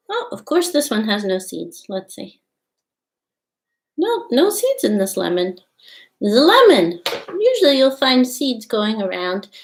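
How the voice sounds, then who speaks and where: A woman's voice: medium pitch, gravelly. A middle-aged woman hosting a children's storytime, talking casually and reading aloud in simple, explanatory phrases, recorded indoors at home on a computer mic. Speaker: storytime host. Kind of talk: casual show-and-tell and picture-book read-aloud for young children. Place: small home room with wainscot walls, indoors. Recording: echoey room, home webcam.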